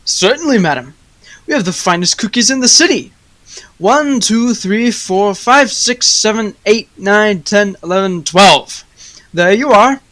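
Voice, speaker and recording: A teenage actress playing a proud, stingy male baker in a high school radio play, with an emphatic, scolding delivery. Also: animated, a little slow, fairly bright tone. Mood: briskly polite.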